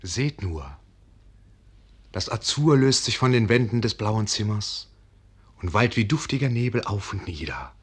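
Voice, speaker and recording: A man's voice: fairly low pitch, very animated, measured pace, pauses often, slightly rough, full character voice. A man delivering poetic, dramatic narration in a radio play. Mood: rapt wonder.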